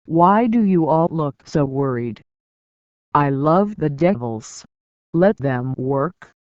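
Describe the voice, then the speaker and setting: An audiobook reader giving a scripted narration, reading in a measured, deliberate way with pauses between phrases. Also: medium pitch, a little mellow, smooth, dry recording, lo-fi sound.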